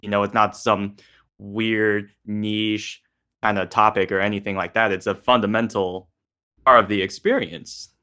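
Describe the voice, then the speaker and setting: A man's voice: on the low side, level tone, measured pace, sharp tone, clear, resonant tone. A man speaking casually as a panelist in a discussion.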